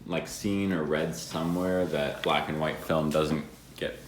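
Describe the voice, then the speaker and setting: A man chatting casually and a little haltingly as he shows off secondhand finds as a video co-host. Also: a bass voice, fairly even intonation. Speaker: co-host of a thrifting haul video. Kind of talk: casual unscripted chat showing finds. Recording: roomy echo, some background noise.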